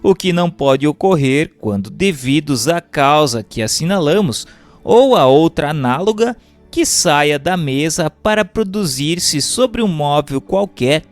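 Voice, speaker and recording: A Brazilian man reads a formal text as a scripted narration, in a measured and carefully phrased manner. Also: fairly high pitch. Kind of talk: scripted audiobook-style reading of a doctrinal text.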